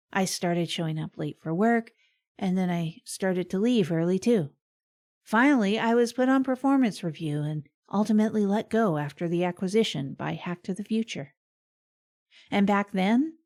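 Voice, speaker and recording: A woman's voice: medium pitch, a little mellow, lightly acted. A woman playing a self-deprecating radio host who rambles wryly through a scripted comedic confession. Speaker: fictional radio host and narrator.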